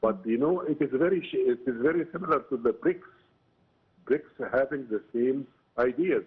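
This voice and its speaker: A man with an Egyptian accent giving halting, explanatory answers as an expert guest in a broadcast interview, often saying "you know". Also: medium pitch, breathy edge. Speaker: political science professor, interview guest. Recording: muffled, narrow phone-like line.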